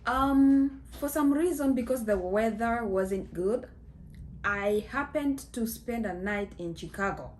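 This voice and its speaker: Slow-talking Kenyan woman telling a story. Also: a little high, darkish tone, slight background noise. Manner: slow, chatty, many fillers, mixes in Swahili phrases. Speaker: vlogger. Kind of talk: casual storytelling to camera. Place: small indoor room at home.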